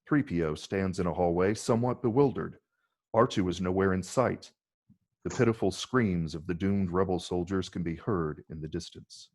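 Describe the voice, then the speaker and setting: A man's voice: sits low, normal speed, darkish tone. A man narrating stage directions from a film script in a dramatic table read.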